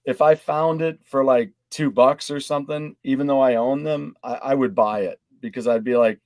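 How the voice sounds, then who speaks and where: A man's voice: mid-range voice, fairly even intonation, normal speed, darkish tone, dry recording. A man chatting casually on a panel in an enthusiastic, rambling way. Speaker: podcast panelist. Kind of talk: casual chat.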